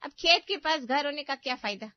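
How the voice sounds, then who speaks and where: A woman performs a meddling older aunt from a cartoon family tale. Her voice sits fairly high and she nags with overdone sympathy, always finding fault. The sound is very dry and a little muffled. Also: lively intonation, normal speed, dry recording. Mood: nagging. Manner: complaining, lecturing, exaggerated sympathy. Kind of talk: Hindi family cartoon story voice acting.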